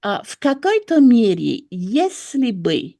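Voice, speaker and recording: French-accented woman, lively intonation, searching for words. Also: a little high, takes it slowly, dry recording, clean studio sound. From France. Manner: hesitant, searching for words, self-correcting. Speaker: political commentator and writer. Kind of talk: interview analysis.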